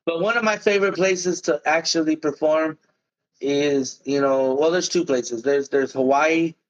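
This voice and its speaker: A man in a relaxed, casual interview, talking about his music in long, loose sentences. He throws in "man" and "bro" as he goes. The recording sounds a little dull and lo-fi. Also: fairly high pitch, a little slow. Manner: laid-back, talks in loose run-on sentences with "man" and "bro". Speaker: musician guest.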